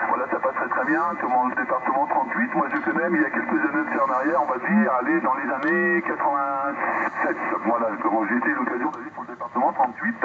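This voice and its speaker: A man chatting casually as a radio operator over a two-way link, rambling and often repeating the same filler phrases. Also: medium pitch. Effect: two-way radio transmission. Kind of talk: casual radio contact chat. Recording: narrowband radio, loud static, distant.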